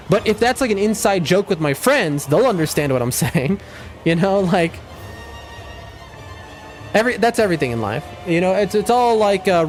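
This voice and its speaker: A young man streaming a game, shouting during fights and rambling to his chat between them. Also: high-pitched. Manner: excitable, loud outbursts during fights; rambling, many fillers and restarts when chatting. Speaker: gaming streamer. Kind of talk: live gameplay commentary and chat talk.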